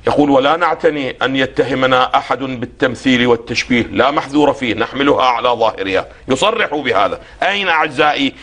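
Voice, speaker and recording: A hoarse man, a religious scholar on a TV programme, lecturing in a didactic way and asking questions for the host to answer. He reads quoted passages slowly and deliberately. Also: mid-range voice, a bit bright. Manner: slow, deliberate when reading quotations.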